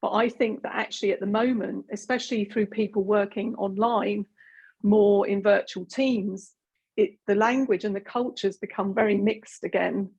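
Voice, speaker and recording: A woman from Britain with a husky voice gives an online presentation. She talks in a loose, chatty way, full of "um" and restarts. She is heard over a video call. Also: medium pitch, steady tone, moderate pace, darkish tone, lo-fi sound. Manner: chatty, many fillers and restarts. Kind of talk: webinar presentation.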